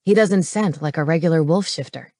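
A woman's voice: sits low, fairly quick, mellow, no echo, clean studio sound. A woman voicing a concerned young male lion shifter in an audiobook, firm and earnest. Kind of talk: paranormal romance audiobook character.

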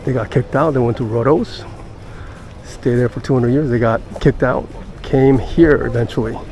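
An older man giving casual walking-tour narration as a travel vlogger, halting and pausing mid-sentence. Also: mid-range voice, a little mellow. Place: outdoors in busy city streets and squares. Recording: outdoor city street noise.

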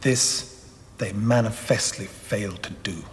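A middle-aged actor playing a solemn tribunal official formally reading out findings in a television drama, measured in manner. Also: male voice, lowish voice, natural voice. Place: large courtroom-like hall, indoors. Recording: reverberant large room.

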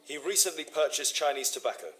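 An actor playing a cool, commanding gentleman detective in a video game, speaking in a brisk, precise and formal way. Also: a little high, slight background noise, lightly acted. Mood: brisk, impatient.

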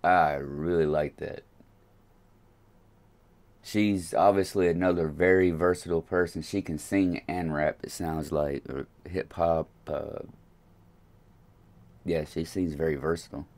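A man's voice: rumbling low, fairly even intonation, a little slow, long pauses, darkish tone. A man in his late thirties, hosting a music reaction in a small room at his webcam, rambling with lots of fillers. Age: late 30s. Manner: hesitant, rambling, many fillers. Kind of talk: casual reaction commentary.

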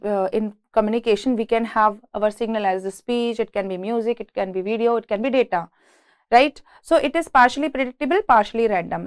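A woman lecturer with an Indian accent speaks in a fairly high voice. She reads from and explains technical slides as a teacher does in class. The sound is dry, with very little room in it. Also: clean tone, no echo. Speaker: engineering lecturer. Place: indoor lecture room at a presentation board.

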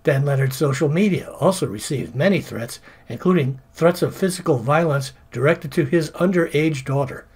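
A man's voice: fairly high pitch, normal speed, hardly any pauses, a little mellow, husky. A man reading scripted, polemical voice-over narration.

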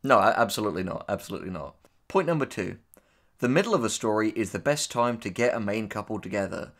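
Low-voiced man discussing writing. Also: lively intonation, quick pace. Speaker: co-host, audio drama writer. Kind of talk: casual commentary discussion.

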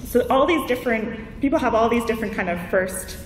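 A woman's voice: a little high, fairly quick. A woman giving an informal conference presentation as a keynote speaker, explaining in a conversational way through examples. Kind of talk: informal slide presentation to an audience. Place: large indoor conference room. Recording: echoey hall, distant mic, background noise.

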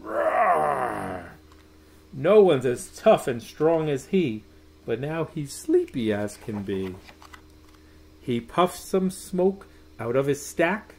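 Middle-aged male storyteller for children's picture book: slightly high voice, very lively sing-song delivery, drawn-out words. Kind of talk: expressive read-aloud of a rhyming children's bedtime story. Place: small room at home.

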